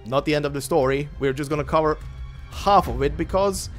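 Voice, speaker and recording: A man from Croatia, a native Croatian speaker, chatting casually as the host before narrating a game story. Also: brisk. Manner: chatty and rambling, with lively pitch jumps. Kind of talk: casual spoken intro to a game story reading. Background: faint looping game menu music.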